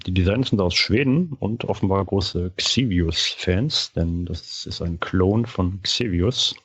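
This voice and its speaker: Very deep, husky-voiced man. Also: no echo. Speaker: podcast panelist. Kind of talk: casual review of retro video games. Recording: dry but dull, band-limited sound.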